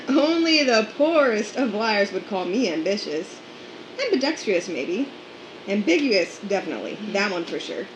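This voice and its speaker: A young woman playing a feisty, sharp-tongued heroine in a radio play. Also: medium pitch, big pitch swings, reverberant, noisy background. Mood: playful. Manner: quick, sassy, combative.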